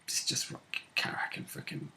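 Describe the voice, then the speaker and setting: A man's voice: moderate pace, pushed and bright. A man talking casually as a tabletop game player, in an informal, sweary, colloquial way. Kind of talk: casual chat.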